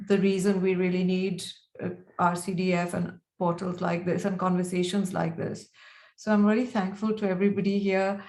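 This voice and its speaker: A woman giving informal remarks as a panel speaker on a video call from an echoey room, haltingly repeating and rephrasing as she goes. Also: lowish voice, a little mellow. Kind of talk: informal remarks at an online roundtable.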